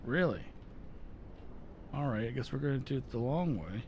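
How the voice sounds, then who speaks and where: A man's voice: medium pitch, animated, normal speed, a little mellow, slight background noise. A man with a voice worn from overuse, rambling casually through live gameplay commentary and often correcting himself. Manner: rambling, self-correcting chatter. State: losing his voice. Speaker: live streamer.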